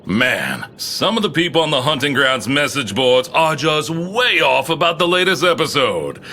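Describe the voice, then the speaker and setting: A man's voice: a little high, lively intonation, normal speed, pushed and bright. An actor playing an obsessive male fan in an audio drama, chatting casually in a dismissive, argumentative, geeky way. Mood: indignant. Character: opinionated, obsessive male superfan of a podcast.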